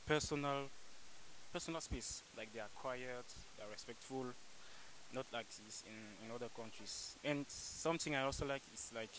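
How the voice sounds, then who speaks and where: A young man from Cameroon answering street interview questions outdoors, pausing and repeating words as he searches for them. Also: medium pitch, fairly bright tone, a little hiss or hum, low-quality recording. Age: young adult. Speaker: interviewee, foreign student. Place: outdoors on a campus walkway. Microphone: handheld microphone held by interviewer.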